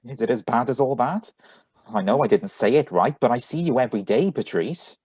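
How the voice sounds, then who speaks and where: A man's voice: medium pitch, expressive, brisk, darkish tone, breathy edge, no echo. An actor playing an earnest young man in love in a live radio drama reading. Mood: earnest, nervous.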